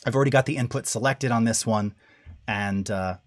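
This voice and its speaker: Man with a low voice teaching live. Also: brisk. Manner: casual, checks in often with "all right?" and "okay?". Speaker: audio masterclass host. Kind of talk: live-stream tutorial talk. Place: home studio with dim blue lighting. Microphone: studio mic close up.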